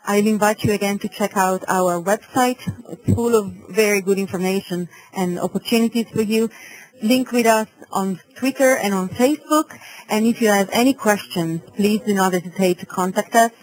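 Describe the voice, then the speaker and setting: A woman hosts an online webinar, presenting to participants. Her voice sits at a medium pitch for a woman. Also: normal speed, rough recording. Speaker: webinar host.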